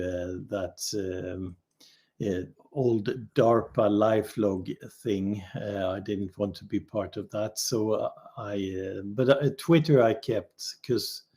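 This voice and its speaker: A man speaking as a guest in a casual unscripted discussion, hesitant and halting, stretching out his thoughts with pauses. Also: fairly low pitch, darkish tone. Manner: hesitant, halting, drawn-out phrasing with long pauses. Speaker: livestream guest.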